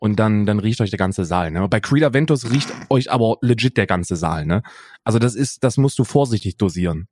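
A man's voice: fairly low pitch, lively intonation, brisk, a little mellow, slight background noise. A man in his thirties co-hosting a podcast, chatting in a joking, boastful, mock-serious way. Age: 30s. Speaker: podcast co-host. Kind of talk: joking casual chat.

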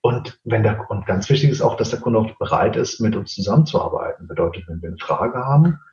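A man's voice: lowish voice, lively intonation, fairly quick, a little mellow. Portuguese-accented man, roomy dull recording. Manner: explanatory, tags sentences with "ja". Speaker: professional translator. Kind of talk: interview answers. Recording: roomy, slightly dull sound.

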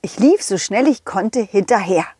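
A woman narrating a children's story in an expressive storytelling style. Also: mid-range voice, moderate pace, husky, no echo, studio quality.

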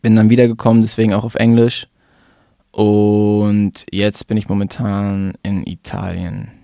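Laid-back young man, low flat voice. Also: a little slow, gravelly, dry recording, studio quality. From Germany. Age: 20s. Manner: relaxed, rambling with fillers and restarts. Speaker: rapper guest. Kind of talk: casual interview chat.

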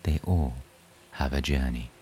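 A man narrating a scripted bedtime sleep story in a soothing, hushed, unhurried storytelling manner. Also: rumbling low, a little hiss or hum. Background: soft relaxation music.

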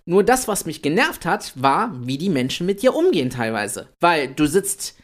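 A man in his late twenties co-hosting a podcast, talking in a chatty, energetic way about building an online business. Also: fairly high pitch, normal speed. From Italy. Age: 20s. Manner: energetic, chatty, swears lightly. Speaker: podcast co-host. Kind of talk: casual podcast chat.

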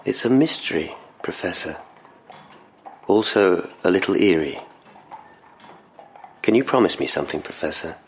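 A troubled constable in a period audio drama, his deep voice gravelly and creaking. He speaks haltingly and earnestly, worn down and haunted, with background noise around him. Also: expressive. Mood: guarded. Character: distraught, sleepless police constable in 1920 Vienna.

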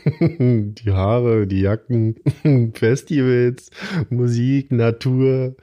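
A man's voice: mid-range voice, fairly even intonation, extremely slow, mellow, dry recording, lo-fi sound. A man with a Berlin accent co-hosting a podcast in casual chat, blunt and joking, saying whatever comes to mind. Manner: blunt, loose-mouthed, joking. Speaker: podcast co-host.

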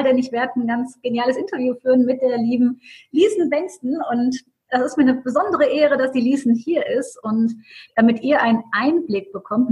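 A woman's voice: high voice, rough recording. Enthusiastic woman podcast host. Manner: enthusiastic, effusive. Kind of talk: podcast interview.

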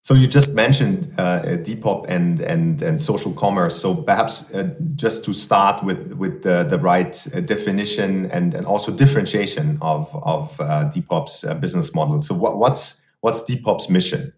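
Male podcast host, deep voice, halting delivery, echoey room sound. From Germany. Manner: hesitant, many fillers and restarts. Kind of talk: podcast interview. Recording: roomy echo.

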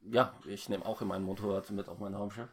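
Male co-host, slightly low voice, unscripted chat, stumbles and restarts often. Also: level tone, a little hiss or hum. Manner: halting, stammering, frequent false starts. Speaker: podcast co-host recapping a film. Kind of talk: casual unscripted plot recap.

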